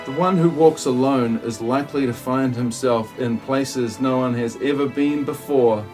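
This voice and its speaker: A man's voice: mid-range voice, moderate pace, darkish tone, faint music behind, lightly acted. A man playing a solemn, pretentious desert mystic in an amateur parody audio play, speaking in a stilted, ponderous way. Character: solemn, pretentious male desert mystic spouting muddled wisdom.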